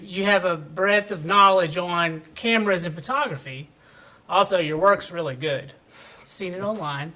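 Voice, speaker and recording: A man's voice: high-pitched, bright, forceful tone. A man hosting a podcast, interviewing a guest with friendly, short follow-up questions. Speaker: podcast host. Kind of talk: casual interview.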